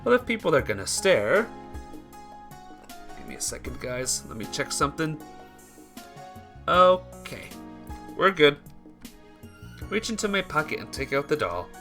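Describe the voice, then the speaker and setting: A man live-streaming a visual novel, reading the text aloud and breaking off for casual, halting asides to his viewers. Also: fairly high pitch, sing-song, sharp tone. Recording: music behind the voice.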